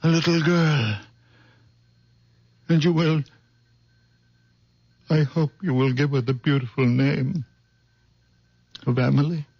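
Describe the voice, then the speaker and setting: An actor playing a very old man with healing hands, speaking softly and slowly in a 1970s radio drama. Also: on the high side, fairly even intonation, breathy edge, no echo, heavily acted. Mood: prophetic. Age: older. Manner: soft, slow, otherworldly. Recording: dull vintage radio broadcast.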